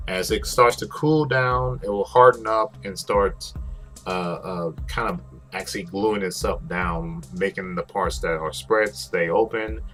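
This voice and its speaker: Male DIY host, deep voice, rambling informal tutorial delivery with loose swearing, music underneath. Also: a bit bright. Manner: casual, rambling, swears freely. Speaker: host, prop maker. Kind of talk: casual craft tutorial narration. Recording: music behind the voice.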